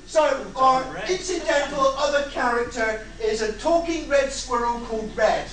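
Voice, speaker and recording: A man leads a casual audience brainstorm in a jokey, exasperated manner. He is heard from a distance in a heavily echoing hall, with clear crowd noise behind him. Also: extremely high pitch, a little slow. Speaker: panel host gathering audience suggestions. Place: convention hall with live audience. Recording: echoey hall from far off, crowd chatter.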